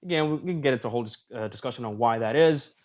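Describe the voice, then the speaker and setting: A man's voice: medium pitch, very fast, darkish tone, muffled recording. A man hosting a livestream in a casual, talkative way, reading social media posts aloud and commenting on them. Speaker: streamer host.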